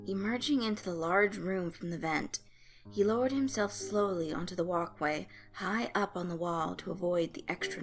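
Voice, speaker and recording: Woman narrating a story over music. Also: fairly low pitch. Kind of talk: scripted fan fiction narration. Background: background music.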